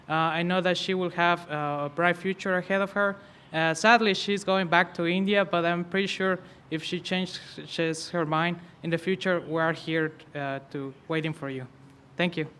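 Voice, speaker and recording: A man introduces his graduate student at a ceremony. His voice sits a little high and rings bright and forceful. He pauses often with fillers. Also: slight background noise. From Ecuador. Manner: hesitant, frequent fillers. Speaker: faculty advisor.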